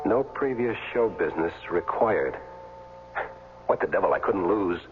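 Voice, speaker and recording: A man's voice: low voice, animated, measured pace, noisy background. A man acting in a 1970s radio drama as a wry, colloquial former football player down on his luck. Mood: wry, reflective. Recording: dull old radio recording, music underneath.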